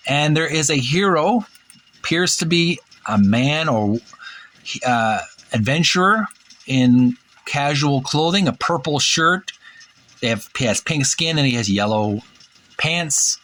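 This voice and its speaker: A man hosting a retro gaming channel, talking casually and reading aloud in a halting, deliberate, stumbling way. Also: fairly high pitch, animated, a bit bright, poor audio. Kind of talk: casual read-aloud commentary.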